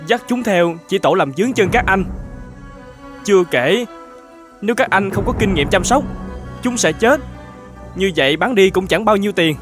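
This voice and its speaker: An actor playing a kind, humble older shepherd in an animated folk tale, speaking politely and gently. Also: male voice, high-pitched, normal speed, pauses often, music in the background. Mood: frightened, pleading. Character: kind, humble older shepherd, hospitable, fearful under threat.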